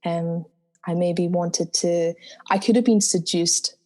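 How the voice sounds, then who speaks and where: A woman with a deep, soulful voice talks earnestly about spiritual matters, her pitch sitting low and her tone warm and mellow. She speaks in a reflective, interview manner. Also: steady tone, slow pace. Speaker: guest singer.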